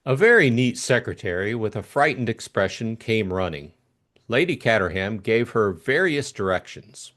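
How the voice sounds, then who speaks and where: A man narrating a classic mystery novel as scripted, expressive audiobook reading. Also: fairly low pitch, moderate pace.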